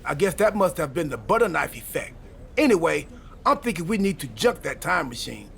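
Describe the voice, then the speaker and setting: High gravelly sarcastic buddy. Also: male voice, big pitch swings, brisk. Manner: sarcastic, joking. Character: sarcastic, wisecracking wrestler buddy. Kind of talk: video game cutscene dialogue.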